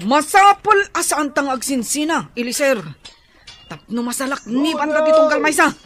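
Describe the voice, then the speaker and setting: A very high-pitched urgent warrior. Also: male voice, normal speed, a bit bright. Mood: excited, rallying. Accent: Filipino. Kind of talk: radio drama acting.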